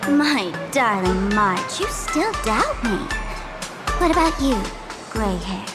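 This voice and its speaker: Teasing female trickster, bright and lilting, theatrical; music bed. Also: fairly high pitch, sing-song, slow pace, full character voice. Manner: playful, mock-dramatic, sly.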